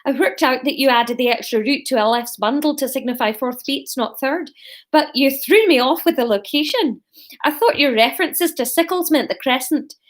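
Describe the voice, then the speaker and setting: A woman's voice: high voice, moderate pace, pitched above the performer's own voice, natural voice. Woman doing a cheery old Scottish conspirator. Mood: cheery, rueful. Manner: cheerful, explanatory. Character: cheery old conspirator, Scottish accent, bruised but unbothered. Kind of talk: live story reading.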